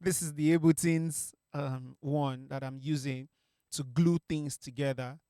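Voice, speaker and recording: Young guy producer walking thru his mix, smooth clear voice, dry close mic, lots of ums. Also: a little high, unhurried, dry recording. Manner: relaxed, explains with many fillers and restarts. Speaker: music producer teaching mixing. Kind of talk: casual tutorial talk. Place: small home music studio. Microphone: studio mic on a stand.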